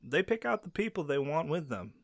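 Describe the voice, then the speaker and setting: An actor playing a friendly young male werewolf who shows a silent listener around his pack's home, easygoing and quick to laugh. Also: fairly high pitch, sing-song, no room sound. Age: young adult. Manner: easygoing, laughs often, answers unheard questions. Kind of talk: audio roleplay to a silent listener. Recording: dry close recording.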